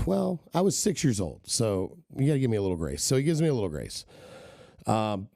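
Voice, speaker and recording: Animated storytelling man with a dark, low voice. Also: brisk, gravelly, no echo, clean studio sound. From Kenya and the southern US. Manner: rambling, animated storyteller with many "right?" tags. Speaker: podcast guest. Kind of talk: casual storytelling interview.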